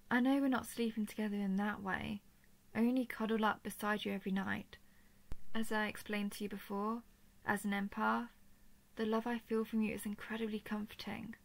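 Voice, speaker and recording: Creaky-voiced royal dragoness, teasing and tender, acted by a woman. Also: mid-range voice. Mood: tender, wistful. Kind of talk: intimate scripted audio roleplay addressed to listener.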